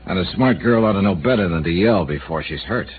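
A man's voice: low-pitched, lively intonation, brisk. An actor playing a dry, persistent male insurance investigator in a 1950s radio drama, asking pointed questions with a wisecracking edge. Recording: tinny old radio broadcast with hiss.

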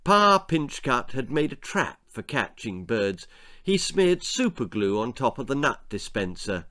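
A man in his sixties reading a children's story aloud in a theatrical, deliberate way. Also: medium pitch, big pitch swings, pushed and bright. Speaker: author reading his own stories. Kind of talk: dramatic children's story narration. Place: small book-lined room indoors.

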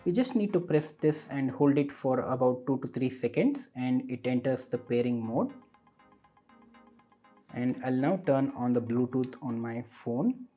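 A man's voice: mid-range voice, animated, measured pace, mellow, soft background music. A man narrating a scripted product review as a tech reviewer.

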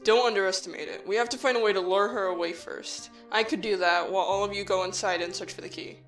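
A woman playing an earnest, cautious human child in a fan audio drama, measured, serious and matter-of-fact. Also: medium pitch, steady tone, a bit bright, faint music behind, lightly acted. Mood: planning, serious.

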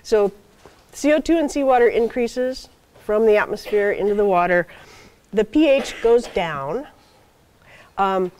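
A soft-spoken older woman gives a guest lecture from a podium in a lecture hall. She explains things patiently, checking in with the audience with frequent okays and ums. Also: medium pitch, animated, a little slow, long pauses. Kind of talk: university seminar talk.

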